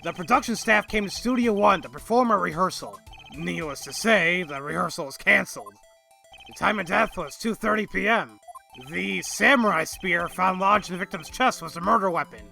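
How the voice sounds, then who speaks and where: Guy doing eager folksy cop for a game, forceful bright voice with lots of gravel, explaining stuff. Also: high-pitched, lively intonation, normal speed, exaggerated voice. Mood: eager, explanatory. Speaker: voice actor in fan dub. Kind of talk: video game character acting, explanatory. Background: quiet game music.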